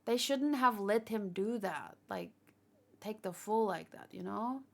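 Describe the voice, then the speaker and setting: Woman streamer chatting about fan theories, kinda tired, rambly, thinks out loud as she goes. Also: mid-range voice, lively intonation, a little slow. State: tired after hours of streaming. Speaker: livestream host. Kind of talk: casual chat, fan theorizing to live viewers.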